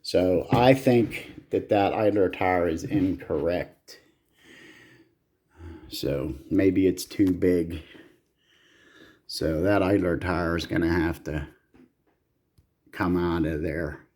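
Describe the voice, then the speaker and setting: A man's voice: low-pitched, slow, long pauses, a little mellow. A man giving casual, hands-on repair commentary in a blunt, salty way, swearing freely as he goes. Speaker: repair video host.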